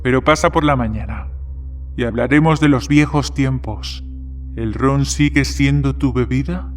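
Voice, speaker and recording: A man's voice: medium pitch, unhurried, close to an everyday voice. A man playing guarded male characters in the dialogue of an audio horror story. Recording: background music under the voice. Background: music.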